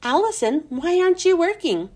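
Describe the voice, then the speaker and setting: A woman's voice: extremely high pitch, very animated, normal speed, darkish tone, voice pushed up. A woman voicing a stern, falsely nice villainous female schoolteacher, commanding and coldly polite. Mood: coolly approving.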